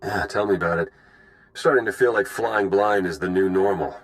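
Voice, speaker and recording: A man acting as a wry spy ally from a science-fiction game. His voice sits very low, and he talks casually and dryly, like an easygoing tech expert on a mission. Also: moderate pace, no room sound, lightly acted.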